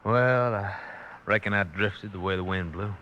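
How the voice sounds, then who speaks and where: An American actor playing a cocky, unrepentant outlaw with a western drawl in an old-time radio drama. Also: male voice, fairly low pitch, normal speed, pushed and bright, lo-fi sound. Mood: rueful.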